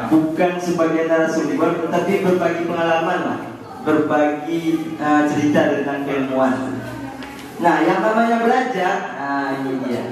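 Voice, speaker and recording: A young man from Aceh with an Acehnese Indonesian accent, leading a calligraphy workshop in a relaxed, chatty way and putting questions to the students. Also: on the high side. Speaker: calligraphy trainer. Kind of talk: casual workshop talk to students. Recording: echoey hall, voice from far off, students around.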